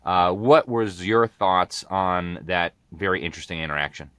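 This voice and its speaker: Deep bright-voiced male interview host. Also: fairly even intonation, normal speed, clean tone, no echo. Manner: enthusiastic, hesitant with many uh fillers. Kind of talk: interview hosting.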